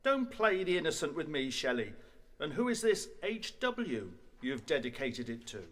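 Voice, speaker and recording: A man's voice: a little high, sing-song, moderate pace, a bit bright. An actor playing an indignant male college don in a radio play, scornful and blustering. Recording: echoey hall with long reverb.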